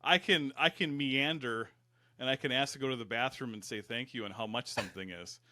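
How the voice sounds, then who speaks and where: A man's voice: medium pitch, fairly quick, sharp tone, no room sound, studio quality. A man from Michigan's Upper Peninsula, a fire service educator, talking in a folksy, rambling, self-deprecating way. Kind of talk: casual interview.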